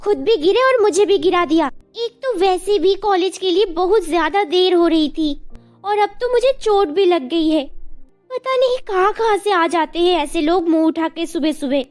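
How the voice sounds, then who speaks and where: A woman playing an emotional, devoted young widowed mother in an animated story drama, with music behind her. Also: very high voice, normal speed. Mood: angry, scolding. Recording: background music over the voice.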